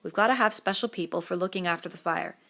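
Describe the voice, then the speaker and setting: A woman's voice: on the low side, quick pace, gravelly, a bit bright. A woman voicing an earnest, firm boy who leads the group. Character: earnest, responsible schoolboy chief.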